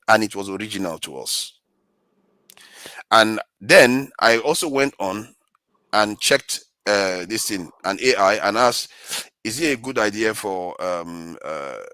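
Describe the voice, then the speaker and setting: Bright-voiced man in debate. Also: lowish voice, no room sound. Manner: deliberate point-by-point delivery, insistent. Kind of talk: argumentative debate rebuttal.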